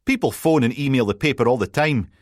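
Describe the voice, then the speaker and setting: A man's voice: medium pitch, quick pace, no room sound, studio quality, voice pushed up, close to an everyday voice. A man voicing a cocky, needling Scottish newspaper editor in an audiobook. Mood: smug teasing. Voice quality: brash, needling.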